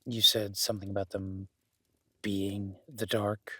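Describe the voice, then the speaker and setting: A man playing a gruff, skeptical but caring cabin dweller in an intimate audio roleplay, blunt and sweary yet grudgingly reassuring. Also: fairly low pitch, level tone, measured pace, sharp tone, no room sound, heavily acted. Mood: apologetic, reassuring. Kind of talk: immersive audio roleplay, intimate naturalistic acting.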